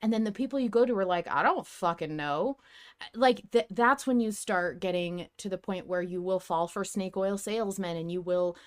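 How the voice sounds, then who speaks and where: A woman in her thirties hosting a casual fan discussion podcast, talking in an animated, emphatic way and swearing casually. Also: mid-range voice, moderate pace. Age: 30s. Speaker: podcast host.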